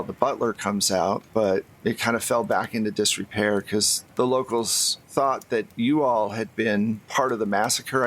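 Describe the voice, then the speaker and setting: A man voicing an open, worried Mother Superior in a tabletop game, speaking in a measured, matter-of-fact way. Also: mid-range voice, a bit bright.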